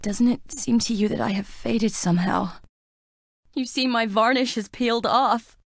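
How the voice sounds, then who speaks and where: A woman's voice: fairly high pitch, fairly even intonation, moderate pace, no room sound, studio quality. An actress playing a frail, melancholy young woman in a plague-stricken town, delivering dramatic video game lines in a wistful, languid, theatrical way. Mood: anguished, wistful.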